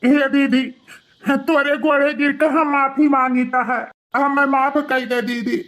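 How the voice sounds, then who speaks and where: Man voicing a high-pitched pleading Awadhi son. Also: little pitch movement, measured pace, fairly bright tone. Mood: tearful pleading. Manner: pleading, repetitive. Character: remorseful grown son, pleading and guilt-ridden. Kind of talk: melodramatic moral cartoon voice acting.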